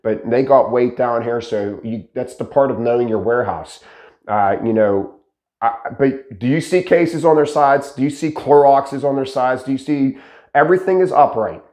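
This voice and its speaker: A man in his thirties hosting a casual instructional talk to camera, his voice slightly raspy from shouting, asking rhetorical questions as he explains. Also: mid-range voice, normal speed, roomy sound. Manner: animated, gestures a lot, asks rhetorical questions. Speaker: host teaching pallet building. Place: dark studio with green screen, indoors. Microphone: lapel mic.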